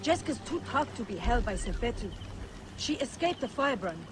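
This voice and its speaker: An actor playing a wary street seller and informant in a video game, guarded and plain-spoken. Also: male voice, extremely high pitch, big pitch swings, normal speed, over music. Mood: informative. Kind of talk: video game character acting.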